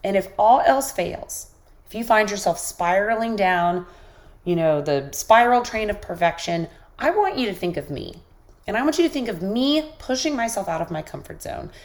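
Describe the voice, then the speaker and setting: A woman hosting a podcast, giving an encouraging motivational talk while sick with a squeaky voice. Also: animated, moderate pace. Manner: encouraging, coaching listeners directly. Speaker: podcast host.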